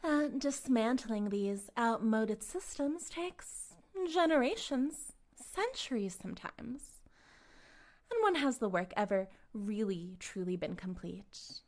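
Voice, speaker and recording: An actress plays a charismatic female cult leader in an audio drama, with a slightly high voice. She speaks slowly and articulately, pompous and condescendingly persuasive. Her grandiose self-belief carries a smug menace. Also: sing-song. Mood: lecturing, reflective. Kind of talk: scripted dramatic audio roleplay monologue.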